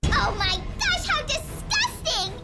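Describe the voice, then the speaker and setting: A woman's voice: very high voice, sing-song, slow pace, full character voice. A woman playing a jealous, scheming peasant sister in a melodramatic roleplay story, whiny and dramatic. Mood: pleading. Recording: music loud behind the voice.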